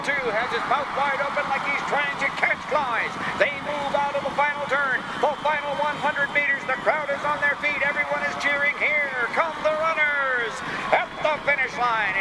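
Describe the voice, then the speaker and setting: A man voicing an excitable sports commentator calling a storybook race in a punning, play-by-play style. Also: very high voice, heavy background noise, voice pushed up. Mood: rising excitement. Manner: punning, building play-by-play delivery.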